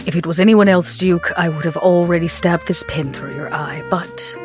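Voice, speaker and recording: A woman's voice: on the low side, moderate pace, faint music behind, natural voice. A woman playing a small, steely, menacing female vampire in a tabletop game, speaking tersely and formally. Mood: grave, menacing.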